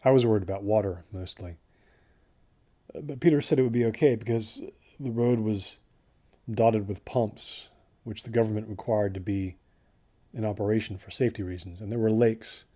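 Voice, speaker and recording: A man's voice: fairly low pitch, moderate pace, mellow, natural voice. A man playing a young student hiker recalling a trip, talking casually and hesitantly as if in a documentary interview. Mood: reflective, subdued. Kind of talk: naturalistic documentary interview acting.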